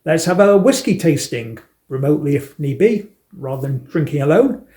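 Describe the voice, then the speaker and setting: A man's voice: a little high, normal speed. A man in his fifties with a Manchester accent, giving a scripted campaign address with dry, self-mocking humour. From England. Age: 50s. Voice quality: deadpan self-mocking delivery. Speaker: election candidate.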